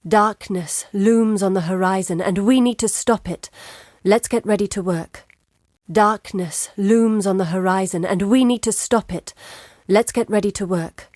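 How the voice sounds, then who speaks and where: A woman playing an educated, curious, guarded map-maker in exile in a video game, speaking in a measured, earnest, articulate way. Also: mid-range voice, a little mellow, dry recording, studio quality, natural voice. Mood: resolute, concerned. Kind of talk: scripted video game character dialogue. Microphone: close studio mic.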